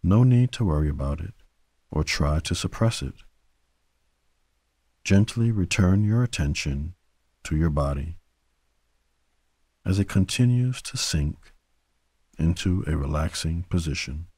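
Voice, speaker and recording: A man guiding a sleep meditation in a gentle, soothing manner, leaving long pauses between short phrases. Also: low-pitched, lively intonation, breathy edge, no echo, studio quality. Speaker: meditation guide. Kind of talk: guided sleep meditation narration.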